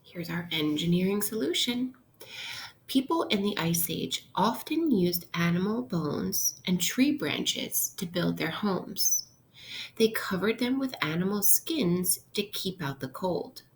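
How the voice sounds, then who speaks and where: Female primary teacher, read-aloud lesson style, slightly low pitch, unhurried instructional delivery, slight background noise. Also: animated, low-quality recording. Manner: instructional, posing questions to listeners. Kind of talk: interactive read-aloud lesson for young children.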